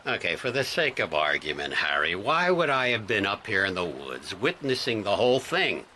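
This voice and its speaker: Gruff, defensive cop for radio drama: low, forceful, lively delivery, blustering. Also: male voice, moderate pace, a little hiss or hum. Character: defensive, cagey, gruff male police detective. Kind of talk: detective radio drama acting.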